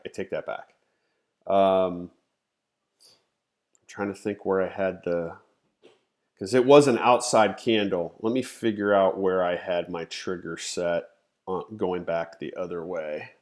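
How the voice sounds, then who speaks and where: Congested man teaching in a low voice. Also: fairly even intonation, takes it slowly, long pauses, darkish tone. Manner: conversational, thinks aloud, frequent "okay?" and "you know". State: congested, nasal. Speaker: trading educator, live stream host. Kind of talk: casual live-stream teaching.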